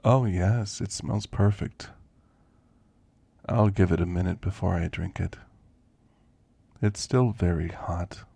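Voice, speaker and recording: A man playing a kindly elderly werewolf in an ASMR roleplay, with a gravelly voice and a folksy, gently chatty manner. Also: low voice, steady tone, takes it slowly, long pauses, dry recording, heavily acted. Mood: gentle, friendly. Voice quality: gravelly, creaky. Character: kindly, frail elderly male werewolf, teasing and grandfatherly. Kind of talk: ASMR roleplay, intimate character monologue.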